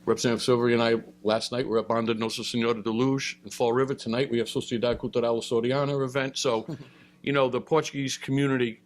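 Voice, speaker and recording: A middle-aged man, speaking on a panel in a relaxed, halting way with many fillers. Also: mid-range voice. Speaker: state senator. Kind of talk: panel remarks.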